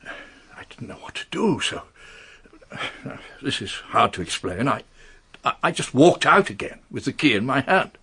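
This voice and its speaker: Casting: nervous upper-class English knight, radio drama, husky mid-range voice, hesitant, lively intonation. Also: male voice, medium pitch, a little slow, long pauses, heavily acted. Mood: shaken, hesitant. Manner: hesitant, stammering, polite. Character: anxious titled English gentleman confessing under questioning. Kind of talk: British radio detective drama acting.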